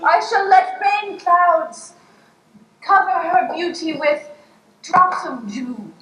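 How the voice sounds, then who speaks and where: A woman's voice: very high voice, animated, slow pace, a bit bright, distant mic, exaggerated voice. A woman playing a lofty, meddling goddess in improvised stage comedy, declaiming in mock-poetic phrases. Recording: echoey stage pickup from afar, faint noise.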